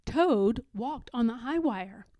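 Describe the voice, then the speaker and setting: A woman's voice: on the high side, expressive, takes it slowly, warm tone, no room sound. A woman reading a children's storybook aloud as the storyteller.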